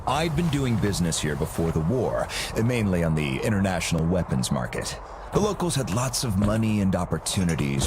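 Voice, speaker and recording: Give me a grandiose, low-voiced arms boss. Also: male voice, background noise. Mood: smug, genial. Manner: urbane, self-satisfied, theatrical, businesslike. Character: smooth, grandiose arms-dealer boss with empire ambitions. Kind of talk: video game cutscene acting.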